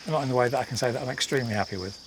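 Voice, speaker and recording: A man's voice: medium pitch, rapid-fire. A man hosting a casual outdoor vlog, chatting with joking, teasing banter. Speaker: vlog host. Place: outdoors on a rural island. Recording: clear outdoor background noise.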